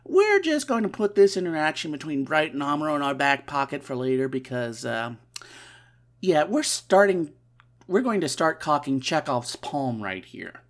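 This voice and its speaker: Nasal stuffed-up podcast host, middle pitch, dry sarcastic asides. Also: sing-song, moderate pace. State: stuffy nose. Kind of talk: casual comic recap.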